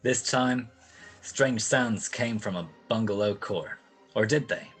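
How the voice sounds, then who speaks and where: Man acting a low-voiced, wry hard-boiled detective. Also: takes it slowly, soft background music. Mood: wry narration. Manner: sardonic, wisecracking. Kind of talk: 1940s radio detective acting.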